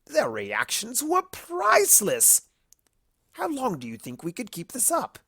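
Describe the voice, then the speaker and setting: A woman's voice: on the high side, huge pitch swings, measured pace, fairly bright tone, slightly rough, no room sound, clean studio sound, pitched above the performer's own voice, heavily acted. A woman voicing a mischievous, boastful male cartoon chaos creature in a smug, cheeky, theatrical manner. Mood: boastful, scheming. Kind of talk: fan fiction storytelling.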